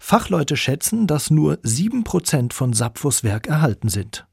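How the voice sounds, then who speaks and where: A man with a slightly husky voice narrating a scripted radio feature in an articulate, clearly structured way. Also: fairly high pitch, sing-song, unhurried, darkish tone, no echo, clean studio sound. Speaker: radio documentary narrator and reporter. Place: dry studio.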